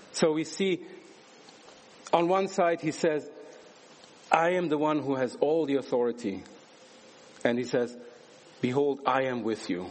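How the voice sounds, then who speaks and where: A man's voice: mid-range voice, low-quality recording. A man from Switzerland giving a church sermon, earnest and emphatic, with rhetorical questions and a personal testimony. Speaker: guest preacher.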